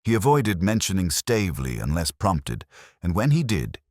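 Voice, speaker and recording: A man narrating a detective mystery drama in the first person, in a measured, literary, brooding manner. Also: deep voice, huge pitch swings, a little mellow, dry recording, clean studio sound.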